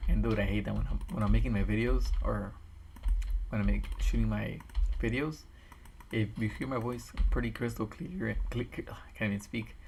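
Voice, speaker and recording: A man with a raspy, tired voice chatting casually and rambling as he builds a game map on a stream, talking into a desk microphone. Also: lowish voice, fairly even intonation, moderate pace, a little mellow, slight background noise. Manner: rambling, thinks aloud with restarts. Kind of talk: casual commentary while building.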